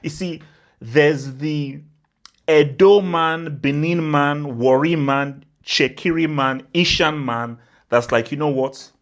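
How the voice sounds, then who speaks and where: A man's voice: fairly high pitch, slow pace. A young man from Nigeria who hosts a football channel, giving casual, emphatic commentary, often saying "bro" and stacking up exaggerations. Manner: animated and emphatic, repeats "bro", spirals into exaggeration.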